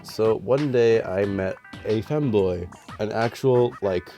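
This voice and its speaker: A man's voice: lowish voice, takes it slowly, warm tone, soft background music. A young man telling rambling, profane storytime anecdotes in a casual vlog, sounding nasal because he is sick. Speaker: storytime vlogger. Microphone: dedicated voice mic.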